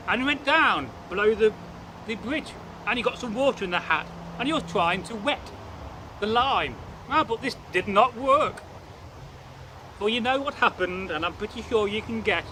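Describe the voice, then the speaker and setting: Male storyteller, high-pitched voice, deliberate old-fashioned phrasing, repeats words for weight, clear outdoor background noise. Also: sharp tone, rough recording. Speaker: channel host and storyteller. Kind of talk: folk tale storytelling to camera.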